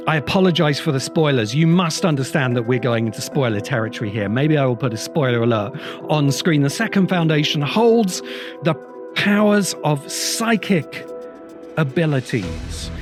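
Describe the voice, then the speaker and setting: A man hosting an explanatory lecture for viewers, speaking emphatically and deliberately with dramatic pauses. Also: fairly high pitch. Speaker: host of a science fiction lecture show.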